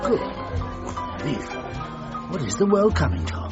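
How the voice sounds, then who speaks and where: A man playing a breezy, condescending upper-class English gentleman in a radio drama, with a jovial, exclamatory manner. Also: fairly high pitch, huge pitch swings, unhurried. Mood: genial. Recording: muffled radio drama with music behind.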